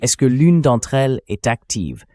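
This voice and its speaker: A man's voice: medium pitch, lively intonation, a little slow, a little mellow, lightly acted. A man's synthetic dubbing voice, putting questions as the host of a translated interview.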